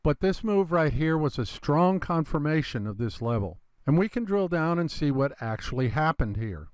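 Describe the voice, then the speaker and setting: A man with a slightly congested, gravelly voice, explaining a trading chart in a relaxed lesson and calling his viewers "you guys". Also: a little high, moderate pace, dry recording. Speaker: trading educator. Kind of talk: screen-recorded trading lesson.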